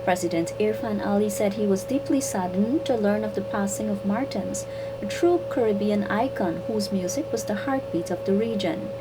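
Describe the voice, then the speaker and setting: A woman reporter reading a scripted news story in a measured, formal way. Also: mid-range voice, very noisy. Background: music bed.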